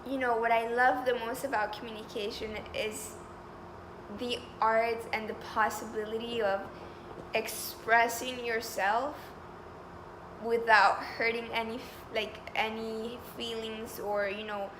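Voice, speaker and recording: A talkative woman in her twenties from Colombia sits for a job interview and answers eagerly, filling her answers with "you know". Her voice is a bit high. A steady noise runs underneath the recording. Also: unhurried. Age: 20s. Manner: eager, talkative, upbeat, with frequent fillers. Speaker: job candidate.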